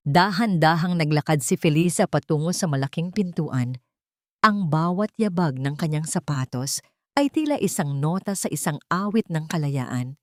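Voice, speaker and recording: Filipina storyteller lady, deep soft-toned voice, solemn audiobook drama reading, really dry close sound. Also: animated, moderate pace, dry recording. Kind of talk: scripted dramatic story narration.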